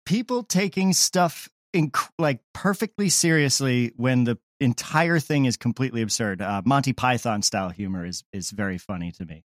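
Male interview guest, medium pitch, reflective and explanatory, casual delivery, very dry sound. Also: lively intonation, normal speed, hardly any pauses, no room sound. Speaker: audio comedy creator, interview guest.

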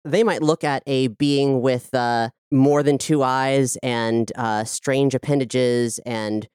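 A woman speaks as an interview guest in a smooth, low-set voice. She explains things carefully and reflectively, recorded close and dry. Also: steady tone, slow, darkish tone, no echo. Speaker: interview guest, podcast creator. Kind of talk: thoughtful interview talk.

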